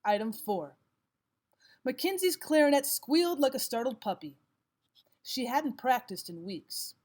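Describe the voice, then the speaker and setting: A woman with a somewhat high-pitched voice narrates a scripted lesson. She speaks as a teacher reading exercises aloud, in a measured and instructional manner. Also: expressive, darkish tone. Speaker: English grammar teacher.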